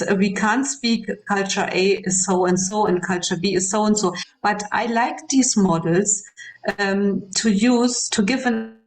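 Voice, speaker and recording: A woman from Germany speaking English with a German accent, a lecturer explaining ideas in a discussion, pausing often with ums and restarts. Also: mid-range voice, poor audio. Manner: hesitant, many ums and restarts. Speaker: guest lecturer. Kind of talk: panel discussion.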